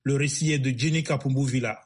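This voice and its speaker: A man's voice: medium pitch, fast talker. A man presenting and introducing a radio news series in a formal, scripted way. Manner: even, formal announcing.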